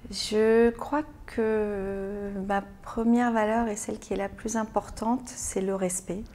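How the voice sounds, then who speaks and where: A woman born in France, an interview guest answering in a thoughtful, halting way with frequent pauses and repeated words. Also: mid-range voice, flat delivery, warm tone, slight background noise. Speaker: interview guest, business owner. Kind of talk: hesitant conversational interview answers.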